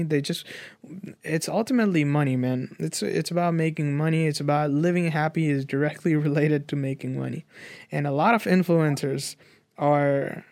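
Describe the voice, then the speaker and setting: A man's voice: fairly high pitch, vocal fry, a little mellow, no echo, clean studio sound. A man in his twenties from Nepal, giving his opinions in a casual, earnest, rambling conversational way as a guest. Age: 20s. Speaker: interview guest.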